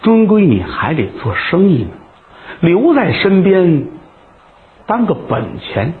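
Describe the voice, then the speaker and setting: A man voicing a gentle young courtesan in a told story, speaking softly and low. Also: sing-song, slow pace, full character voice. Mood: tender. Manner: soft, low and hushed. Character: young gentle courtesan woman, tender and resolute. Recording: tinny phone-like sound.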